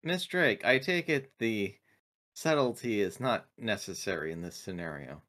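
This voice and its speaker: A man's voice: fairly low pitch, expressive, moderate pace, a bit bright. A man playing in a tabletop role-playing game, speaking briefly, partly in character.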